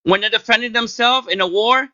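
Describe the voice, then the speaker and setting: A man arguing loudly and emphatically in a heated live-stream debate, interrupting often, with a loud voice he admits to. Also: sits high, fairly quick, dry recording, highs cut off. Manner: loud, interrupting, emphatic, non-native grammar. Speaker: debate caller.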